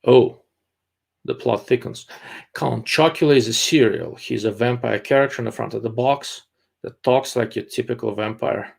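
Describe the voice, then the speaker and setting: A man with a gravelly, creaky voice at an ordinary male pitch, hosting a casual live stream. He answers viewer questions bluntly and in his own time, pausing often with "uh" as he thinks aloud. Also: a little mellow. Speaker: livestream host answering viewer chat.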